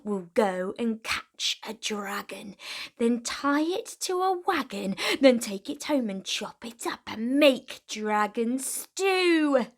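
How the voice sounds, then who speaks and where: A little Viking schemer from a storybook, read by a woman, keen and conspiratorial. The voice is fairly high and very animated, with measured pacing. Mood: eager.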